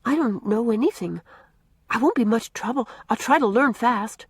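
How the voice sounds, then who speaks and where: An earnest, anxious little girl from a storybook, high and breathy, her voice rising and falling as she pleads, put on by a woman reading aloud. The sound is close and dry. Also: lively intonation, moderate pace, dry recording. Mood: eager. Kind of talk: audiobook character reading.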